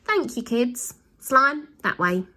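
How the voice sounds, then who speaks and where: A woman's voice: a little high, animated, slow pace, sharp tone, voice pushed up, heavily acted. A woman voicing a plucky, commanding young boy in a storybook reading, speaking urgently. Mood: brisk, commanding. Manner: urgent, ordering.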